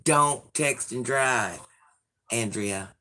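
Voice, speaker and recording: A man in his forties, hoarse from illness, chatting sassily with viewers on a livestream and reading out their comments. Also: mid-range voice, drawn-out words, bright, forceful tone. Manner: sassy, chatty, addresses viewers by name. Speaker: livestream host.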